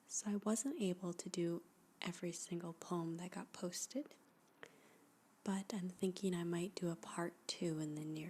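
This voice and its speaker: A woman host whispering softly in an ASMR style, as for a poetry reading, with a hushed, deliberate delivery and long pauses. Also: lowish voice, slight background noise.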